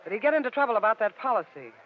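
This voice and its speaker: Brassy high-pitched radio dame played by a woman, lo-fi. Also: very animated, racing. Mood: sly, flip. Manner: slangy, flip, cutting. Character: brassy scheming nightclub dame, sharp-tongued and hot-tempered. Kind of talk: old-time radio detective drama. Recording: dull lo-fi radio recording, background noise.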